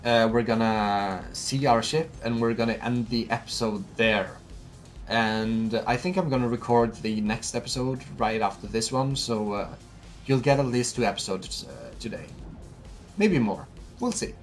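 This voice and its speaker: A man's voice: mid-range voice, a little slow, soft background music. A young man from Norway with a Norwegian accent, giving chatty, enthusiastic gameplay commentary and often repeating himself. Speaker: let's play host.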